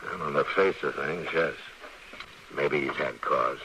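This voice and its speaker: An actor with an American accent playing a steady, authoritative frontier lawman in an old radio western, asking direct, probing questions. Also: rumbling low, a little slow, gravelly, full character voice. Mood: measured. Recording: faintly noisy vintage radio recording.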